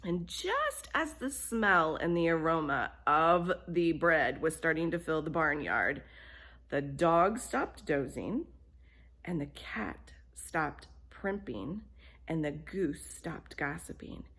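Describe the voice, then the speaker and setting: A woman telling a children's bedtime story in an expressive, deliberate way, with emphasis on key phrases. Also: deep voice.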